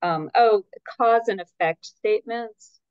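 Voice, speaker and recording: A woman, an editor guest in an interview, answering in a thoughtful, measured way with some hesitations. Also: mid-range voice, smooth, dry recording. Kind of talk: conversational interview. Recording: dull, dry, lo-fi sound.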